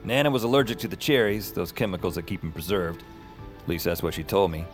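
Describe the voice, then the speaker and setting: Wry rattled young guy telling his own story, lowish voice, slangy, audio drama, music under it. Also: expressive, fast. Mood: wry, reflective. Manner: wry, colloquial, self-deprecating. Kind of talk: first-person audio drama narration.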